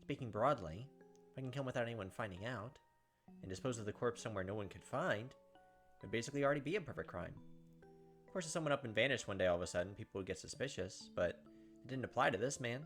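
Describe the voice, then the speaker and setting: Fast-talking man reading over game music. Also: mid-range voice, expressive, fairly bright tone. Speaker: gaming channel host doing a playthrough. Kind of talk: reading visual novel narration aloud with brief side comments. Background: visual novel background music.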